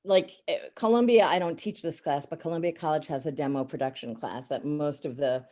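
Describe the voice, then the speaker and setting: Brief: middle-aged woman, raspy, sarcastic, fairly low voice, chatty interview guest. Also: fairly quick, warm tone. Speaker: voice actor and teacher. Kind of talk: casual interview chat.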